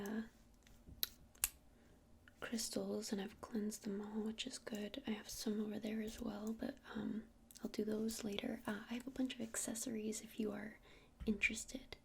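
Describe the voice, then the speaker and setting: A woman whispering softly and breathily in an ASMR roleplay as a friendly witch shopkeeper, explaining things in a gentle, hesitant, rambling way. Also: medium pitch, little pitch movement, measured pace, pauses often, a little hiss or hum. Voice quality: breathy unvoiced whisper with creaky edges. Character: friendly witch shopkeeper selling crystals and incense. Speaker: ASMR roleplayer playing a crystal shop witch.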